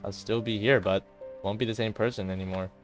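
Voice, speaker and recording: A despairing teenage girl, a club president weighed down by guilt acted by a man. The voice is quiet and hesitant over music, in the style of visual novel drama. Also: fairly low pitch, fairly even intonation, a little fast, no room sound, close to an everyday voice. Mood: resigned. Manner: subdued, hesitant, self-blaming.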